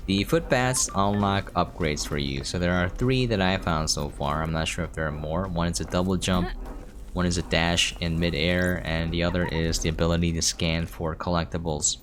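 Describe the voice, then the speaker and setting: A man's voice: deep voice, fairly even intonation, moderate pace, fairly bright tone. A man giving casual gameplay commentary, thinking aloud and trailing off, with game music behind him. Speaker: game streamer. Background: video game music.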